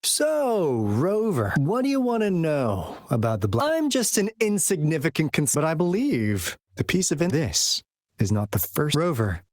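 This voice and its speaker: A woman playing a cool, confident young female investigator in an anime game, brisk and analytical, with music behind her. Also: sits low, big pitch swings, darkish tone, dry recording, studio quality, exaggerated voice. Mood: reflective, relieved.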